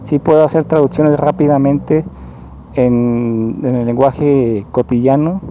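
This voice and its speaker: A man with a very dark, mellow voice. He talks slowly and earnestly, pausing and rewording his phrases as he goes. His pitch sits at an ordinary middle level. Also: steady tone, dull audio, slight background noise. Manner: slow, halting, rephrases often; earnest and explanatory. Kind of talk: spoken monologue, personal complaint.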